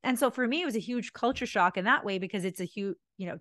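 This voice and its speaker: A woman's voice: mid-range voice, fast, dry recording. Woman host, dry close microphone. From India, moved to Canada at ten. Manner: conversational, affirming, trailing off softly. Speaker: podcast host, physiotherapist. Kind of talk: casual interview chat. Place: home room, indoors. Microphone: large studio microphone in front.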